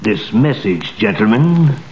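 An actor in a 1938 radio drama playing a desperate, scheming middle-aged banker who talks forcefully, on an old broadcast recording. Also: male voice, fairly low pitch, sing-song, takes it slowly, reverberant, background noise. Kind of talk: 1930s radio acting.

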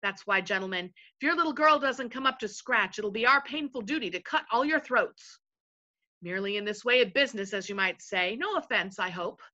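A woman reads as a folksy invisible chieftain from a storybook, bright and forceful. The talk rambles and repeats itself in plain colloquial turns, bossy yet timid, on a slightly dull recording. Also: mid-range voice, animated, a little fast. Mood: chatty, menacing. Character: invisible leader, rambling, matter-of-fact, folksy, timid bully.

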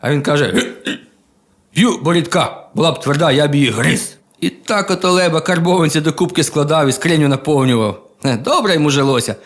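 A man telling a humorous folk tale in a colloquial, expressive, folksy manner. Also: on the high side, a little fast. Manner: colloquial, expressive, with chuckles and exclamations. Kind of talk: folksy humorous oral storytelling. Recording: music behind the voice.

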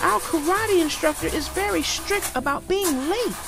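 A woman's voice: very high voice, sing-song, takes it slowly, music in the background, pitched above the performer's own voice, full character voice. A woman voicing a worried, insistent little girl bear cub in a storybook, pleading and a bit bossy. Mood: complaining.

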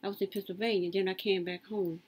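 A woman in her late fifties rambling through her life story in a casual, lively way. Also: fast, breathy edge. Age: 50s. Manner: lively, swoops high when quoting others.

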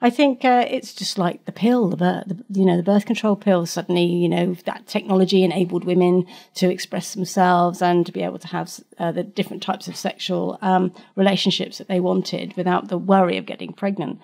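Chatty woman with a gravelly voice. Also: lowish voice, flat delivery, brisk, few pauses, a little mellow. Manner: chatty, frequent restarts, laughs easily. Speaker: guest expert. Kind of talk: casual interview answers.

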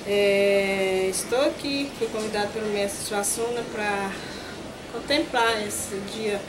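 A middle-aged woman speaks gratefully and a little haltingly, pausing to find her words. Her voice sits at a middle pitch. Heavy background noise runs under the whole recording. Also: steady tone. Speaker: interviewee. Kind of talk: interview testimony. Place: indoors in front of a flag backdrop.

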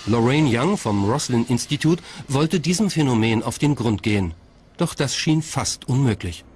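A man's voice: medium pitch, animated, normal speed, darkish tone, a little hiss or hum. A man reading scripted narration as the narrator of a documentary. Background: faint music.

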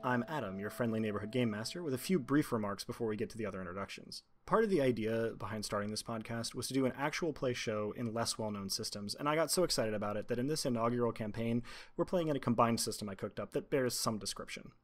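A man speaking as game master and host, introducing and narrating a tabletop game in an articulate, measured, prepared way. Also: medium pitch.

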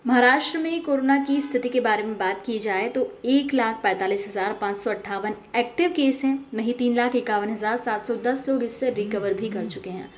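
Higher-pitched woman reading news. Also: normal speed, hardly any pauses. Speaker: news presenter. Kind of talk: scripted news narration.

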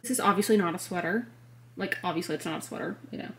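A woman's voice: lowish voice, quick pace, rough recording. An American woman in her twenties with a slightly congested, nasal voice, chatting casually and gushingly as a fashion vlogger into a handheld camera. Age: 20s. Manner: gushing, emphatic, frequent "like". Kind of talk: casual clothing haul chat. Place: bedroom, indoors. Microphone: handheld compact camera at arm's length.

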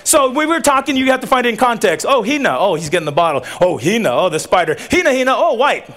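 Animated man preaching at a graduation. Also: very high-pitched, fast talker. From Tonga. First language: Tongan. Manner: animated, joking, audience call-and-response. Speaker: commencement speaker. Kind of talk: lively commencement sermon. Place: outdoor tent stage at a graduation.

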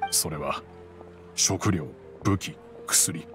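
A low-pitched, middle-aged gentleman, head of a rich household. He speaks in a measured, gentle and fatherly way with some remorse, as in an anime game story. Soft music runs underneath. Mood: grave, regretful.

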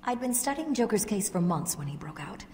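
An actress playing a determined, indignant doctor in a video game, speaking articulately and insistently. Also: female voice, medium pitch, very animated, fairly quick, a little mellow, natural voice. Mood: anxious, insistent. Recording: reverberant hall, clear background noise.

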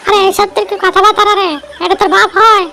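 A quarrelsome cartoon village wife , acted by a woman, with a piercingly high pitch. She scolds and abuses in quick bursts. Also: flat delivery, gravelly, sharp tone, faint music behind, exaggerated voice. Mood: scolding. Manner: scolding, abusive. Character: shrill quarrelsome young village wife and mother. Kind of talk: amateur comic cartoon dubbing.

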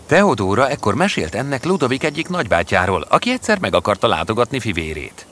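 A man narrating a scripted fairy tale in an expressive storytelling manner. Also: medium pitch, a little hiss or hum. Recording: music under the voice. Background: background music.

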